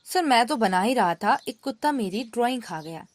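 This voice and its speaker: A woman's voice: on the high side, expressive, moderate pace, lightly acted. A woman voicing a mischievous but good-hearted ten-year-old boy in a children's moral story. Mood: glib.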